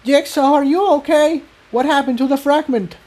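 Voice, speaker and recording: A man's voice: very high voice, fairly even intonation, normal speed, a little mellow, pitched above the performer's own voice. A man voicing an anxious, eager young female cartoon pony in a storybook reading, with urgent questioning delivery. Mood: worried.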